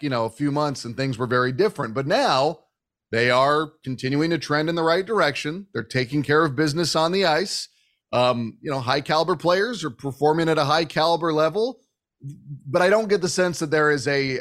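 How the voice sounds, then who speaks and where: A man's voice: on the high side, a bit bright. A man hosting a conversational sports interview, speaking with enthusiasm and emphasis. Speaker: sports show host.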